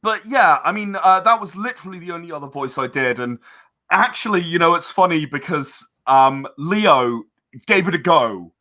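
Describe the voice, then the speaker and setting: A man speaking as a guest in a casual podcast interview, halting and rambling as he explains. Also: fairly high pitch, lively intonation, sharp tone. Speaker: interview guest, audio drama producer.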